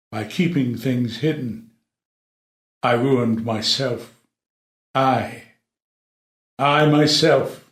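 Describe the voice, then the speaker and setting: Slow, solemn old king, acted by a man. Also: mid-range voice, lightly acted. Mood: despairing. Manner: declamatory, solemn, measured. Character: grave, proud old king lamenting his ruined realm. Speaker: actor. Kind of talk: unrehearsed table read of classical verse drama. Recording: echoey room.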